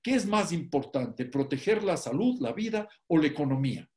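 An older man from Ecuador with an Ecuadorian accent, giving an online lecture from a room at home. He speaks emphatically and deliberately, stacking lists and slowing on key words. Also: on the high side, very animated, darkish tone. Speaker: economist giving an online talk. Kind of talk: public lecture. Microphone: laptop webcam on a video call.

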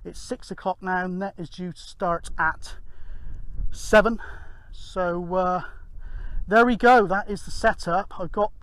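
A man's voice: high-pitched, fairly even intonation, takes it slowly, a bit bright, no echo. A middle-aged British man giving casual, rambling, matter-of-fact narration for an outdoor vlog. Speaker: amateur CB radio vlogger. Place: open moorland hilltop, outdoors. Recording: windy outdoor handheld camera recording. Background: wind and outdoor ambience.

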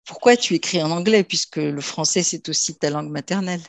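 A woman's voice: low voice, a little fast, creaky. A woman hosting an interview, asking curious, conversational questions over a video call. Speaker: interview host.

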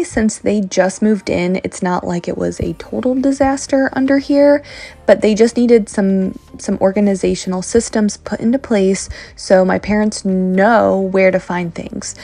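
A woman's voice: medium pitch, measured pace, gravelly, warm tone. A young woman narrating her cleaning vlog in a chatty, encouraging voice-over. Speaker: vlogger.

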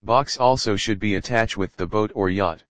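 A man with a lowish voice reads a software tutorial voice-over in short, clipped commands, one step at a time. His sentences are plain and simple in grammar. The recording is dry and close, with no room sound. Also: normal speed, dry recording.

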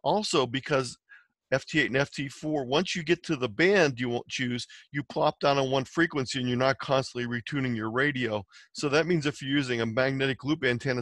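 Guy walking thru slides, plain matter-of-fact teaching voice, mid pitch, really dry sound. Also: a bit bright, dry recording. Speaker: amateur radio presenter. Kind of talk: slide presentation, explanatory lecture.

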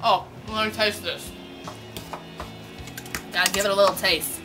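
A woman's voice: mid-range voice, over music. A young woman playfully hosting a homemade cooking show in a small home kitchen, teasing and bursting out now and then. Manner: bubbly, teasing, quick outbursts.

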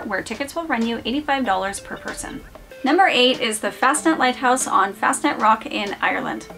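A woman's voice: on the high side, a bit bright. Upbeat woman narrating over music. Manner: upbeat, enthusiastic descriptive narration. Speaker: host of a lighthouse channel. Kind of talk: scripted narration. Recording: clear background music.

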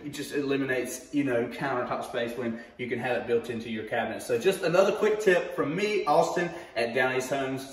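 A man's voice: medium pitch, distant mic. A man, hosting a casual home tour vlog on a phone camera, chatty and upbeat. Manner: chatty, upbeat, self-mocking. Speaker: home sales host. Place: indoors in a show home, empty rooms. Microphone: phone camera, often at arm's length. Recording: echoey room, voice a bit off mic.

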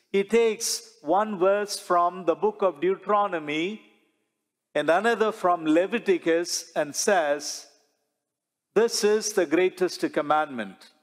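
Slow-preaching priest in a reverberant church. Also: male voice, sits high. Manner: slow, measured preaching with rhetorical questions and gestures. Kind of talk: sermon. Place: large church sanctuary before the altar.

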